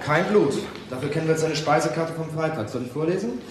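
A man's voice: a little high, expressive, fast talker, close to an everyday voice. Actor for dry-toned junior policeman, crime radio drama; reverberant, noisy sound. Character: dry male police assistant reporting lab results. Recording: very echoey, noisy room.

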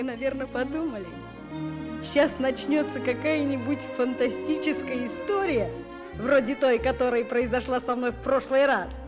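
A woman playing a chatty, teasing young schoolboy introducing a story in a Soviet radio play. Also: high voice. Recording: music under the voice.

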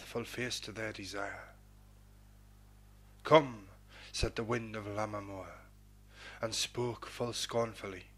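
A man hosting a poetry programme and reading verse in a measured, dramatic, declamatory manner with a husky voice. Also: on the low side, a bit bright. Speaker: poetry programme host and reader.

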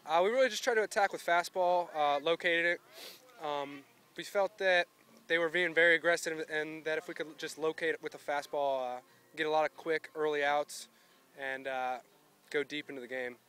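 Young male athlete, easygoing, bright clean tone, slightly higher pitch, outdoor phone interview. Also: fairly even intonation, normal speed, clear, resonant tone. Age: young adult. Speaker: college baseball pitcher. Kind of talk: postgame interview. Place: outdoors beside a baseball field.

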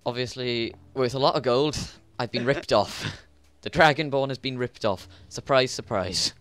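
A man's voice: medium pitch, moderate pace, a bit bright, no room sound. Joking young man gaming with friends. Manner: joking, laid-back banter. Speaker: gamer recording his channel. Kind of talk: casual gaming chat with friends.

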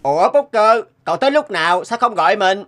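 Lady doing a young cartoon boy, sincere and expressive, music playing behind. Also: mid-range voice, brisk, natural voice. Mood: excited. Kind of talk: cartoon dubbing. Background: instrumental music.

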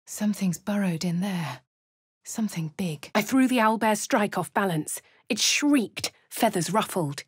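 A posh English heroine. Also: female voice, fairly low pitch, slightly rough, dry recording, studio quality. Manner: cycles between quiet inner narration, hushed whispers and shouted spell incantations. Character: posh young female adventurer narrating her thoughts. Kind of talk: game voice lines.

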